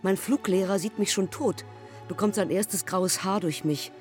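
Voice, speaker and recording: A woman's voice: mid-range voice, moderate pace, darkish tone, faint music behind, close to an everyday voice. An actress playing a daring, intense young woman pilot who speaks in terse, staccato fragments, in a German radio play. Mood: reflective.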